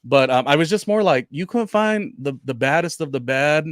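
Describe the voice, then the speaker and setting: A man hosting a casual reality TV recap podcast, talking in an animated way and hedging his points with many fillers. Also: fairly high pitch, normal speed, smooth, no room sound, studio quality. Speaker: podcast host.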